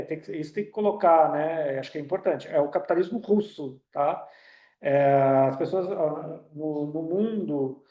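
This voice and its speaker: A man's voice: a little high, warm tone, echoey room. A Brazilian man speaking on an online video call, with a Brazilian Portuguese accent, giving a talk full of fillers and restarts. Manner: hesitant, many fillers and restarts. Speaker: union director speaking on a webinar. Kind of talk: online talk with audience questions. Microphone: computer mic on a video call.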